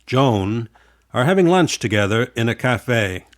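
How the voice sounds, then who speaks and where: A man narrating a scripted English lesson in a measured, clearly enunciated way. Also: mid-range voice, sing-song.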